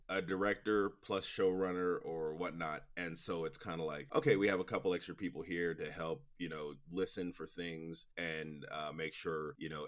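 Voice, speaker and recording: A man's voice: sits low, a bit bright. A man answering interview questions as a guest producer, in a rambling, explanatory, conversational way peppered with "you know". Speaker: interview guest, audio drama producer and director.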